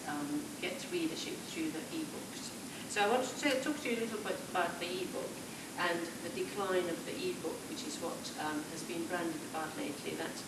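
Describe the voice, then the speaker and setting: Female panelist from Finland, 50s, hesitant; distant mic, echoey hall. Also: low voice. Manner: hesitant, many fillers and restarts. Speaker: panel speaker, author. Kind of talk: panel talk. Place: festival event hall. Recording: echoey room from far off, loud noise.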